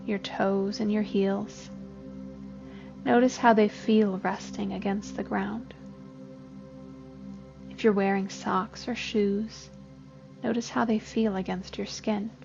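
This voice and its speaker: Female meditation guide, gentle and very measured, with noticeable vocal fry; slightly dull recording over music. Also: mid-range voice. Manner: gentle, unhurried instruction. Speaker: therapist guiding a meditation. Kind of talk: scripted guided body scan meditation. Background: soft music.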